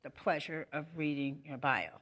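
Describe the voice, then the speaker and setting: A woman's voice: low-pitched, normal speed, a bit bright. A woman hosting an interview, effusive and friendly with her guest, laughing often. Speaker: talk show host. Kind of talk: conversational interview.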